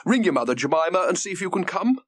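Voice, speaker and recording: A man voicing a brisk, mischievous older grandmother in a children's story, emphatic and opinionated. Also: high voice, sing-song, dry recording, voice pushed up.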